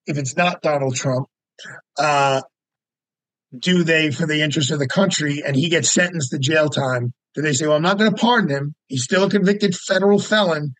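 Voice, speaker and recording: A man's voice: a little high, normal speed, fairly bright tone, no room sound, low-quality recording. A man appearing as a lawyer guest in a radio interview, talking through his ideas aloud in casual chat.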